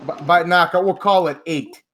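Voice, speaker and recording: A man's voice: high-pitched, moderate pace, noisy background. A man calling in to a podcast, talking casually in a rambling, emphatic way full of swearing. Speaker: caller. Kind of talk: casual call-in chat.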